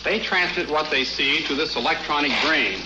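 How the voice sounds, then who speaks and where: A man's voice: a little high, moderate pace. A man giving a stiff, scripted explanation as a company president in a vintage radio advertisement. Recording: noisy, dull, echoey old radio recording.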